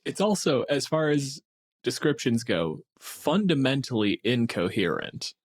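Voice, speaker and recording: A man with a medium-pitched voice talks casually about old lore. His delivery is wry and explanatory, with some casual swearing. The recording is dry and free of room sound. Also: sing-song, unhurried, slightly rough, no room sound. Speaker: podcast co-host. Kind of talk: casual discussion of monster lore.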